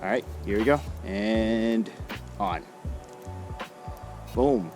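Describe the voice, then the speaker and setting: A man's voice: lowish voice, extremely slow, over music. A man hosting a vlog in casual, laid-back, slangy chat with an upbeat manner. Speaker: vlog host.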